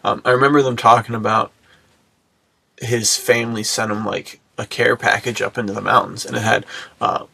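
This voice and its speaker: Man, heavy vocal fry, raspy edge, slangy bro talk, enthusiastic, casual podcast co-host. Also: on the low side, steady tone, normal speed, bright, forceful tone. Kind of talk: casual podcast chat about film and menswear.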